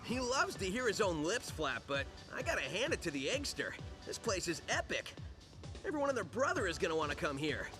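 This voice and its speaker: Wisecracking young American hedgehog hero, animated high voice, game cutscene with prominent music. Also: male voice, brisk. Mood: amused, breezy. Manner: breezy, flippant, slangy.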